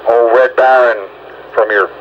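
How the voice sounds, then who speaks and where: A man runs a casual radio chat in a folksy, repetitive way. His mid-pitched voice comes through a dull two-way transmission with clear noise around it. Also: fairly even intonation, a little slow. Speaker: amateur radio net operator. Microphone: radio microphone.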